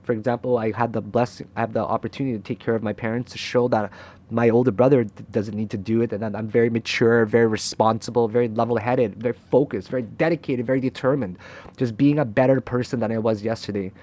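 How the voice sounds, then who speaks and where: A man's voice: medium pitch, steady tone, fast, a little mellow. Frank, swearing young man talking to camera. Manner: frank and emotional, swears freely, keeps asking "right?". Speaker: crypto trader and channel host. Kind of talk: candid motivational talk to camera.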